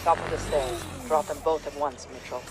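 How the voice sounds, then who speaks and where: A man's voice: a little high, steady tone, moderate pace, heavy background noise, close to an everyday voice. A man acting a focused military spotter, giving terse instructions during a mission in a shooter game. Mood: focused, controlled. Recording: loud game music over the voice.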